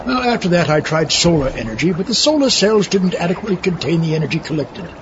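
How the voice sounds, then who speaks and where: A man's voice: expressive, fast talker, lightly acted. A man playing an eccentric, pompous, scheming mad scientist in a comedic audio drama. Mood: boastful, scheming. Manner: pompous, flowery wording, exclamatory. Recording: muffled lo-fi audio over rumbling background noise.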